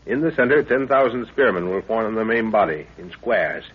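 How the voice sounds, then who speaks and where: An actor playing a middle-aged commander and fond father, brisk and authoritative, in a 1930s radio drama. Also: lowish voice, animated, breathy edge. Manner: brisk, authoritative, clipped orders. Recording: tinny, muffled 1930s radio recording.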